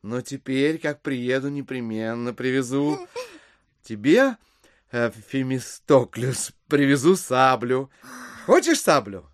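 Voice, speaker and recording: An actor playing an ingratiating, smooth-mannered gentleman guest in an audio drama, affectedly polite, coaxing and playful. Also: medium pitch, expressive, slow pace, no echo, clean studio sound. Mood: sweetly apologetic.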